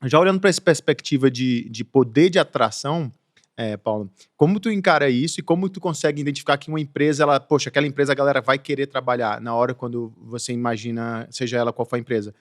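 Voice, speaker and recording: A man's voice: mid-range voice, fast, no echo, studio quality. A Brazilian man hosting a casual podcast chat, rambling with many restarts and fillers and laughing through his stories. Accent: Brazilian Portuguese. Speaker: podcast host.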